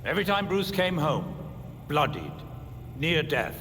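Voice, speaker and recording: A man playing a fatherly older butler and devoted guardian in a video game, formal, measured and admonishing. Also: a little high, sing-song, a bit bright, full character voice. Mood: stern, pained. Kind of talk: dramatic video game acting. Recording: long reverb, faint background noise.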